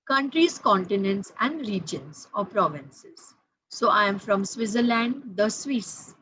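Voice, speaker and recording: A woman's voice: on the high side, fairly bright tone, lo-fi sound, slight background noise. A woman, teaching French grammar patiently, repeating key points and spelling out letters. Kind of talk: explaining grammar to students.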